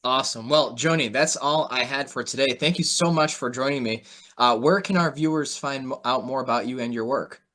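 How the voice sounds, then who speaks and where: A man hosting an interview, giving conversational market commentary in a measured, informal, agreeable way. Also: medium pitch, sharp tone. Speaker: host.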